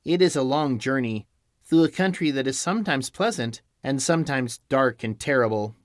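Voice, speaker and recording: A man voicing a kind little old witch in an audiobook, speaking gently and motherly. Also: mid-range voice, expressive, moderate pace, no echo, clean studio sound, close to an everyday voice. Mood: gentle. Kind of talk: audiobook character voice.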